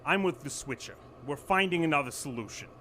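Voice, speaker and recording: A man's voice: fairly high pitch, expressive, fairly quick, background noise, natural voice. A man playing an exasperated, sardonic company executive under pressure in an audio drama, urgent and commanding.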